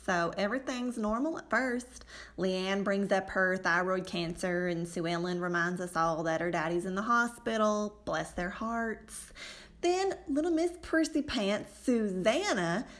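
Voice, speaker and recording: A woman's voice: mid-range voice, lively intonation, unhurried, close to an everyday voice. A woman playing a gossipy, self-righteous and easily exasperated church lady in a comedic radio play, with a folksy, indignant, dramatic delivery.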